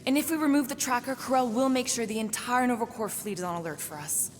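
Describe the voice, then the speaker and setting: An actress playing a cool, pragmatic alien warrior woman with a dry, measured manner in a video game. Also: fairly high pitch, in a large hall, slight background noise, natural voice. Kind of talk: game dialogue acting.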